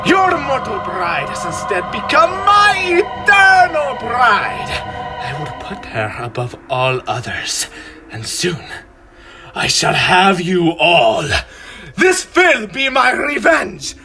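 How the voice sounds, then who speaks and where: An actor playing an imperious, vengeful vampire count in theatrical radio drama style, grandiose and menacing. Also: male voice, sits high, very animated, slow, over loud music, full character voice. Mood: gloating, vengeful.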